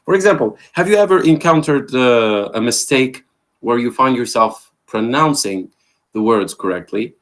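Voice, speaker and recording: A man's voice: mid-range voice, lively intonation, normal speed, fairly bright tone. A man giving an interactive online language lecture as the trainer, quizzing a participant and affirming his answers over a video call. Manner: questioning, affirming with "there you go".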